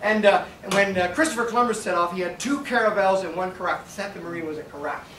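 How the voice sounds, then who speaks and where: Give me an older man giving an animated, rambling lecture, recorded from far back in an echoey room. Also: sits high, fast, a crowd in the background. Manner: rambling, animated, with frequent restarts and asides. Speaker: sailing presenter. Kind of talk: casual slide lecture. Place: darkened lecture room with projector. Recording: echoey room from a distance, faint ambience.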